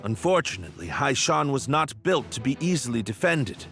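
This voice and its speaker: A calculating royal strategist from a video game speaks in a medium-pitched voice. He is formal and sober, laying out each clause with measured, articulate care. A musical score runs clearly behind him. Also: sing-song, fairly bright tone, soft background music. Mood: grave, strategic.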